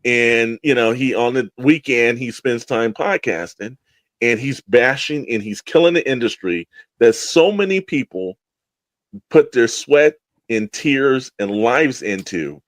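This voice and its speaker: A man with a medium-pitched voice talks like a podcast co-host giving his opinions. His delivery is casual and rambling, with emphatic points along the way. The sound is dry and close to the microphone. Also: expressive, a little slow, dry recording.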